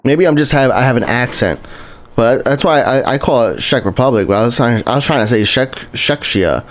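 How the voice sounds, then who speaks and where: A man streaming a game live, his voice sitting at an ordinary middle pitch. He rambles in a loose, friendly way and keeps restarting sentences as he talks to his chat. Faint music plays underneath. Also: lively intonation, brisk. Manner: rambling and chatty, lots of restarts, greets chat viewers. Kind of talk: casual live-stream commentary while playing.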